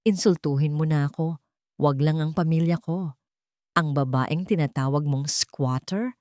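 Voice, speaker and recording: A woman's voice: low voice, a little slow, darkish tone, dry recording, clean studio sound. A woman voicing a protective, commanding rich businessman with firm authority in a story drama. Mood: stern anger.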